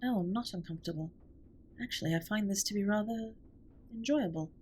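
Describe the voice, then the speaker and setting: A woman's voice: mid-range voice, brisk, a little hiss or hum, lightly acted. A woman playing a formal, reserved but tender alien spaceship captain in a scripted comfort audio roleplay, speaking with precise, stilted politeness. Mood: earnest, shy.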